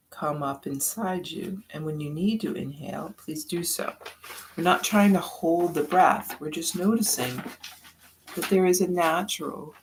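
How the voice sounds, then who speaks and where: A meditation guide giving soft-spoken, drawn-out breathing instructions with long pauses between phrases. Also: medium pitch, a little hiss or hum, rough recording.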